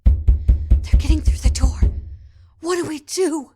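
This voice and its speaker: An actress playing a frightened, emotional wife fighting to survive in a horror audio drama. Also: female voice, high voice. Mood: fearful. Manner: panicked, emotional, drawn-out. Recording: music under the voice. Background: music.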